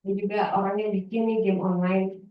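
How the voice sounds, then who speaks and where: A woman's voice: mid-range voice, flat delivery, fairly quick. A woman with a Javanese accent, a voice-over trainer giving a casual workshop talk, chatty and loose, often trailing off while searching for words. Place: library workshop room. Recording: roomy, distant, dull sound.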